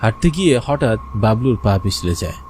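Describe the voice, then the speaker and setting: A man's voice: on the low side, big pitch swings, fairly quick, a little mellow, slight background noise. A man playing a frightened village fisherman, narrating a cartoon horror story in the first person with suspense and anxious urgency. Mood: nervous, suspenseful.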